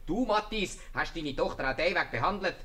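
An actor playing a shrewd, bossy bailiff with a Swiss German accent, blunt and persuasive, in a 1950s radio comedy. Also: male voice, fairly high pitch, expressive, fairly quick, pushed and bright. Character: shrewd, bossy bailiff who settles quarrels.

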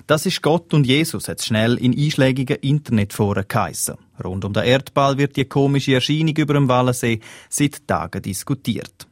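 A Swiss German man reads a scripted radio news piece at a middle pitch, keeping a steady, faintly wry delivery. The studio sound is close and dry. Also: no room sound. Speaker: radio reporter.

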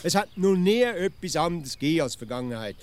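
Stubborn Swiss provincial philosopher, high-pitched man. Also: sing-song, brisk. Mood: insistent explaining. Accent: Swiss German. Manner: insistent, pedantic explaining. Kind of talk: comic radio dialogue.

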